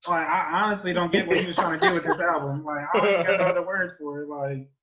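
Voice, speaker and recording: An American man co-hosting a podcast, giving album reviews in casual, profane, animated and exasperated slangy banter. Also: fairly high pitch, quick pace, over a noisy crowd.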